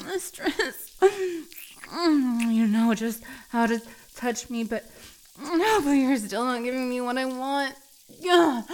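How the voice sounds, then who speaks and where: A woman playing a submissive, needy cat girl in an intimate adult audio roleplay, halting and breathy as she pleads. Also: sits high. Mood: needy, breathless.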